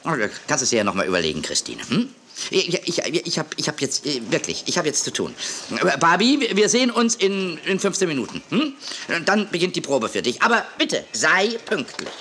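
A man's voice: a little high, very animated, brisk, pushed and bright, slight background noise. A man playing a scatterbrained, fussy television producer in a children's audio drama, stammering and repeating himself. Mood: distracted, hurried. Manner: stammering, repeating himself, losing his words.

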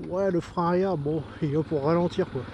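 A man's voice: fairly high pitch, steady tone, brisk, dull audio. A man giving enthusiastic, informal vlog commentary, thinking aloud as he rides a motorcycle. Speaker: motovlogger. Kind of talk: casual vlog commentary while riding a motorcycle. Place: outdoors on a moving motorcycle on country roads. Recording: noisy outdoor recording with engine and wind.

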